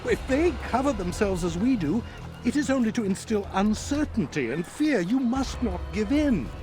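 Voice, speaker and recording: Game role: scared, protesting priest, very high register, animated pitch, halting pleas, faint music behind. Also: male voice. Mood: nervous, placating. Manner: stammering, pleading, protesting. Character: frightened, pleading male priest. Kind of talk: dramatic video game acting.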